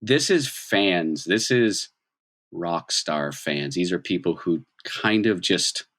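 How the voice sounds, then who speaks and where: A man's voice: low-pitched, expressive, slow pace, fairly bright tone. A man working as game master, improvising narration in a conversational, dramatic storytelling manner.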